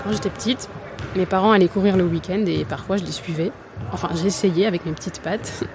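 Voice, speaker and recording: A woman's voice: lowish voice, fairly even intonation, fairly quick, noisy background, lightly acted. A woman playing an embattled, doubtful young athlete in a French audio drama, earnest and colloquial. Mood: weary, candid. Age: young adult. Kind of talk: naturalistic French audio fiction acting.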